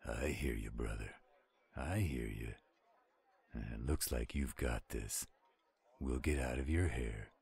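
A man's voice: rumbling low, slow pace, gravelly, long pauses, darkish tone, husky, heavily acted. A man playing a pushy, loyal friend in a roleplay audio drama, steadily teasing and insisting. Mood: easygoing.

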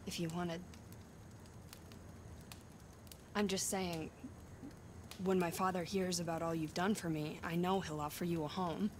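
A woman's voice: fairly low pitch, fairly even intonation, normal speed, darkish tone, a little hiss or hum, natural voice. A woman playing an earnest, resourceful young woman in a video game, with natural delivery. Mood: soft, tentative. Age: young adult. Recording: music behind the voice.

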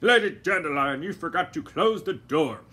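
Man doing a grumpy doctor, stern, curt, bright-toned. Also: on the high side, expressive, normal speed, breathy edge, voice pushed up, exaggerated voice. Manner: curt, scolding.

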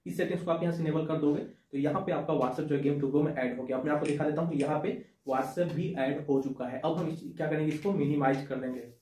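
Young guy doing a phone tutorial, highish voice, soft mellow tone, roomy echo. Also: steady tone, fast talker, slight background noise. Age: young adult. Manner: explanatory, repeats points, addresses viewers as friends. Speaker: tech tutorial host. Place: small indoor room with shelves. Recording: echoey small room.

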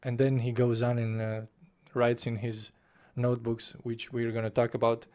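A man's voice: mid-range voice, level tone, normal speed, dark tone. A young man, talking through a book review in his bedroom into a desk mic, passionate and restarting his phrases. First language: Romanian. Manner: passionate, gestures with both hands, restarts phrases. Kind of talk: casual spoken book review. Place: small bedroom at home. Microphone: desk microphone in front of face, webcam framing.

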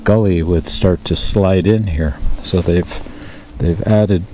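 A man's voice: sits low, steady tone, slow, mellow. A man talking casually to his action camera while hiking along an old rail bed, chatty and easily amazed, with lots of fillers. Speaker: hiking vlogger. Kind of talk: casual walking commentary. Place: outdoors in a wooded gully by an old rail bed. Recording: noisy outdoor camera audio.

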